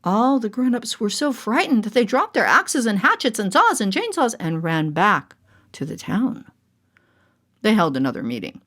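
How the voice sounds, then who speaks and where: A woman reading a children's story in a theatrical, deliberate narrating style. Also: mid-range voice, big pitch swings, a bit bright. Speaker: storyteller, voice actor.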